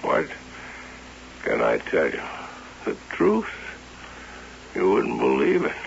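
An actor playing a grief-stricken, haunted carnival owner, halting and melodramatic, in an old-time radio drama. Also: male voice, medium pitch, wildly swooping pitch, vocal fry, a little hiss or hum, exaggerated voice. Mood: dazed, despairing. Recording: muffled old radio broadcast with music.

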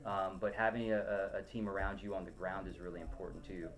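A man's voice: deep voice, level tone, fairly quick. A middle-aged man from Ohio, a podcast guest answering questions in casual talk, rambling with many ums and restarts. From Ohio, USA. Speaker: podcast guest, loan officer.

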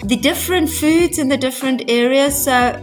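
A woman's voice: high voice, normal speed, soft background music. A woman from Africa, a guest coach talking earnestly and reflectively in an interview, repeating phrases as she thinks. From South Africa. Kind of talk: conversational interview.

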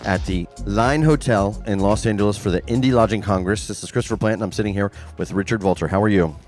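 A man's voice: fairly low pitch, fast, darkish tone, soft background music. A man hosting a casual live interview in a hotel, joking and plain-spoken. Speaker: podcast host. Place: hotel lounge.

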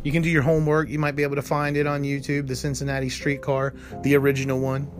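An American man hosts a solo podcast at a close mic, his pitch sitting in the middle range. He rambles anxiously and keeps stressing his points with repeated words. Faint music plays quietly behind him. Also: monotone, quick pace. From United States. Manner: worried, rambling, repeats "period" for emphasis. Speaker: podcast host.

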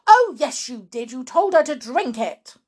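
A woman's voice: high voice, slow pace, pitched above the performer's own voice. A woman voicing a flustered, anxious mother in a storybook reading, wailing and pleading as she cries out. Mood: accusing. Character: flustered, anxious middle-aged mother and wife.

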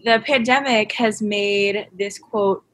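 A woman's voice: medium pitch, fairly even intonation, takes it slowly. A woman hosting an interview podcast, giving an upbeat introduction and asking long questions broken by "um" and "uh". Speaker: podcast host.

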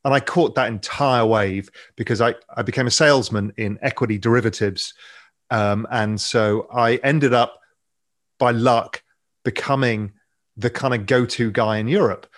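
A man in his fifties with an English accent, a podcast guest telling his career story in a relaxed, rambling way with frequent ums. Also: medium pitch, moderate pace. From England. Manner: relaxed, anecdotal, many ums. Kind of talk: interview storytelling.

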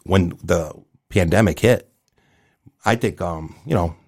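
Gravelly, low-voiced man in his fifties. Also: slow pace. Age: 50s. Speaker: guest, event promoter. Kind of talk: casual conversational interview.

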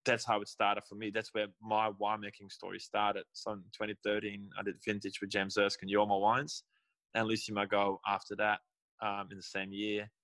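Young man, lowish voice, loose rambling talk, dry close recording. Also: monotone, normal speed, fairly bright tone, no echo, low-quality recording. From Belgium. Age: young adult. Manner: rambling, restarts and self-corrections. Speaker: guest winemaker. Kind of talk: casual interview.

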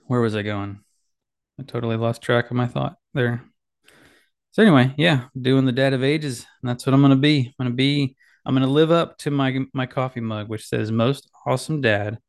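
A man hosting his solo podcast from a small home office on a boom mic, chatting casually in a rambling, self-deprecating way full of fillers and restarts, with a slightly nasal voice. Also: clean tone. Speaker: podcast host. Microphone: boom mic with headphones.

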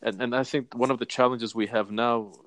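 A Palestinian man speaking as an interview guest, measured and deliberate, laying out his points one by one. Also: mid-range voice, fairly even intonation, a bit bright, no echo. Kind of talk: earnest advocacy interview.

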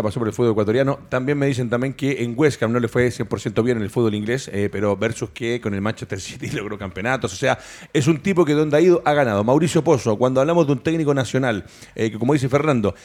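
Fast-talking sports host. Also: male voice, mid-range voice, darkish tone. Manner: fast, hesitant with fillers. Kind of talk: sports talk show.

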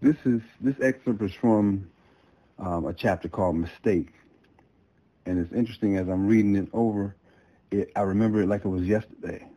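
A man reading his own story aloud as a guest author, in a plain, measured narrative delivery on a slightly muffled recording. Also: fairly low pitch, warm tone, soft background music.

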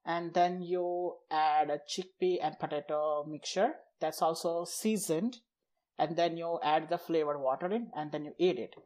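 A man's voice: fairly high pitch, a little slow, darkish tone. A man with an Indian accent, a Gujarati native speaker, chatting as a podcast guest and explaining things in short, loose phrases. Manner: lists and explains things in short chunks, somewhat unfinished sentences. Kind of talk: casual chat.

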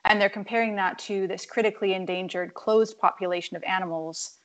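A woman from Scotland, co-hosting a podcast and explaining marine science in a relaxed, chatty way, with plenty of fillers as she thinks aloud. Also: medium pitch, fairly quick, poor audio. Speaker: podcast co-host. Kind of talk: casual science chat.

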